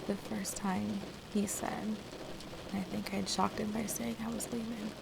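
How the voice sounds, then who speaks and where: A woman acting in an intimate ASMR roleplay drama as a distressed, defensive woman who wants to leave her partner, speaking in a halting, emotional way. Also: medium pitch, level tone, gravelly, heavy background noise. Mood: weary, subdued. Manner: halting, emotional, pleading conversational delivery. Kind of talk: intimate ASMR roleplay audio drama, one side of a conversation.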